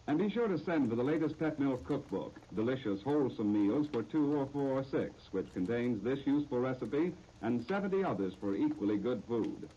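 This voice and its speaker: Man, American accent, 1930s radio announcer, scripted sales copy, formal, mellow, medium pitch, dull old disc recording. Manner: formal, persuasive salesman phrasing. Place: radio studio.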